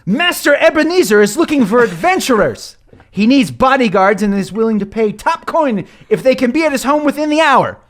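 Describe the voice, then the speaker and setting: A man's voice: extremely high pitch, expressive, fairly quick, voice pushed up. A man voicing a young gnome errand boy who announces an urgent plea for help.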